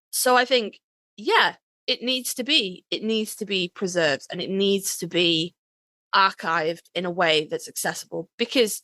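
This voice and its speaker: A woman's voice: medium pitch, sharp tone, no echo. A woman chatting casually as a podcast host, emphatic and swearing freely. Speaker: author.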